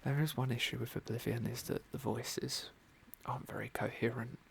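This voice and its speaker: A man giving gentle, musing ASMR roleplay commentary on a game in a soft, breathy whisper. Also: medium pitch, fairly even intonation, moderate pace, slight background noise. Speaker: game playthrough host.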